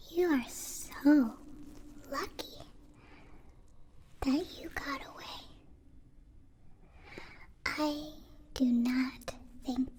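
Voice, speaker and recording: A woman voicing a gentle, polite alien in an ASMR roleplay, half-whispering in halting, formal phrases. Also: high-pitched, a little hiss or hum, voice pushed up. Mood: gentle, concerned. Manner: halting, formal, stilted phrasing without contractions. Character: gentle, polite young alien, grateful new friend.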